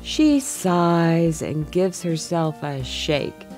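A middle-aged woman reading a children's story aloud in an expressive, deliberate storytime style. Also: lowish voice, faint music behind.

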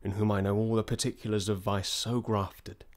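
An actor playing a brooding exiled prince with measured oratory in classical radio drama. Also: male voice, on the low side, flat delivery, lightly acted. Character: Malcolm, brooding exiled prince rallying men to revenge.

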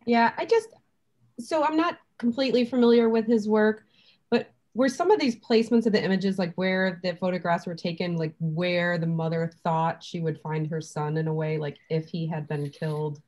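Woman, very low pitch, tentative, filler words, video call. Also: fairly even intonation, normal speed, darkish tone. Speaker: participant.

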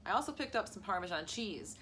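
A woman's voice: mid-range voice, animated, quick pace, sharp tone, slight background noise. A woman in her forties with an American accent, chatting casually through a grocery haul in her home kitchen and laughing often. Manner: chatty, laughs often, lists prices as she goes. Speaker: lifestyle vlogger. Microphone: camera at arm's length.

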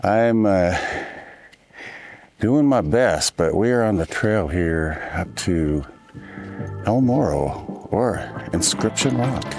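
Older male travel vlogger, raspy and gravelly, rambling narration outdoors. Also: expressive, slow, pauses often. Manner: low-energy, rambling, thinking aloud. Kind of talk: casual hiking narration. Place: outdoors on a desert trail. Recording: music bed under the voice.

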